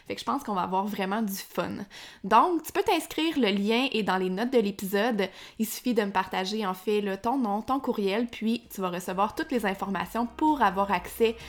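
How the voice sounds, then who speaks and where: A young woman with a Québécois accent explains nutrition on her podcast in a relaxed, conversational way. She speaks straight to the listener and fills pauses with "euh." Her voice is a little congested. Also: mid-range voice. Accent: Québécois French. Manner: chatty, informal, addresses listener directly, frequent "euh" restarts. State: slightly congested, frog in throat.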